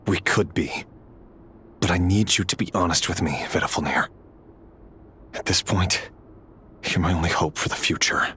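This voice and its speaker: A man's voice: deep voice, normal speed, long pauses, bright, forceful tone, a little hiss or hum. An actor playing an anguished, devoted young man in a fantasy video game, speaking earnestly and intensely in formal phrases. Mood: pleading. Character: anguished, devoted young male fantasy hero, desperate and defiant. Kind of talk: dramatic video game acting and narration.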